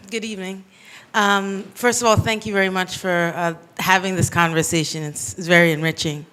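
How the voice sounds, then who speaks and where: A man's voice: high-pitched, fairly even intonation, moderate pace, sharp tone, smooth. A man from Kenya asking a long, hesitant question from the audience at a bookstore talk, with many fillers and restarts. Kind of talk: public Q&A question. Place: bookstore event room.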